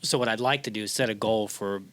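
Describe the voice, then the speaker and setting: A man's voice: lowish voice, quick pace, fairly bright tone. A man of about fifty hosting a casual, conversational interview. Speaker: interview host.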